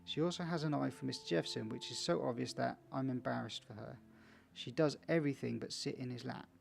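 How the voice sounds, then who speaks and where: A man's voice: medium pitch, brisk, gravelly. A man playing a video game for viewers, casually reading its text aloud with small stumbles and chatty asides. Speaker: video game let's player.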